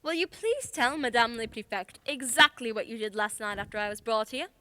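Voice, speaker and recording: Woman acting female sleuth, radio drama, very bright, lively intonation, precise, assertive. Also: on the high side, brisk. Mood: composed, confident. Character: confident female detective, sharp and methodical, accused of murder.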